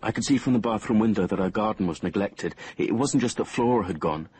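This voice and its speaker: A man's voice: on the low side, fast, a little mellow, a little hiss or hum, lightly acted. An actor playing a troubled, anxious young man, narrating and acting in a radio drama. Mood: uneasy, haunted.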